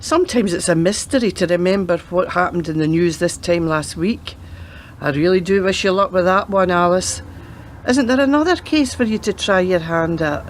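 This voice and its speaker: A woman in a radio play acting a world-weary, sceptical grandmother who used to be a policewoman, speaking in a dry, measured way. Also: on the low side, slight background noise, lightly acted.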